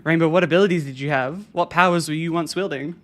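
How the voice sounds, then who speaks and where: A game streamer talking casually through a live stream, chatting with viewers and reading chat aloud in a soft, soothing way. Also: mid-range voice, fast talker. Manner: chatty, reads and answers chat.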